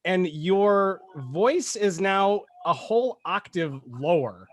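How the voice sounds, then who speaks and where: A man with a high voice co-hosts a casual podcast, laughing often and restarting his phrases. The sound is dry and close, with no room echo. He talks over a video call. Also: expressive, slow. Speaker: podcast co-host. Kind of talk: casual chat.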